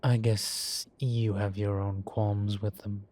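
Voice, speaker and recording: A man plays a genteel demon professor in an audio drama. His voice sits low and moves very slowly, with deliberate pauses. He sounds erudite, formal and dryly amused, as if lecturing at his leisure. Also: level tone, darkish tone. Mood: thoughtful, confiding. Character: urbane male demon professor, erudite, wryly amused, unhurried. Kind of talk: scripted audio roleplay, lecture and conversation.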